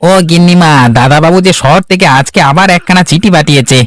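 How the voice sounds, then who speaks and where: A man voicing an eager, obedient household servant in a Bengali cartoon, deferential and animated. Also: a little high, quick pace, no room sound, close to an everyday voice. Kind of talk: Bengali fairy-tale cartoon acting. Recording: music under the dialogue.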